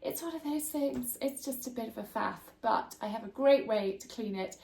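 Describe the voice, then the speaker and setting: A woman's voice: on the high side, moderate pace, gravelly, a little mellow, reverberant. A woman in her thirties, congested and bunged up, chatting casually to camera in her home kitchen as she shows a cleaning tip. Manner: chatty, homely, friendly asides. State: congested, bunged up from hay fever. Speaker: home tips vlogger. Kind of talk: casual how-to chat to camera.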